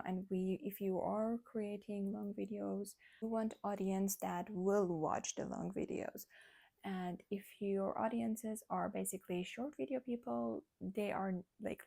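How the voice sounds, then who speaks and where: A woman's voice: mid-range voice, vocal fry, mellow, slightly rough. A young woman in her twenties with an Armenian accent, speaking English as a third language in a chatty, candid vlog, laughing often. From Armenian, living in Iran. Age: 20s. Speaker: vlogger. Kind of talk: casual talk to camera.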